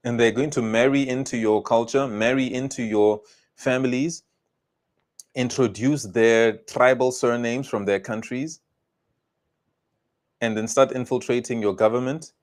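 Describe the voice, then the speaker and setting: A South African man delivering heated political commentary, scolding and repeating blunt warnings to his viewers. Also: mid-range voice. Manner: emphatic, scolding, repetitive warnings.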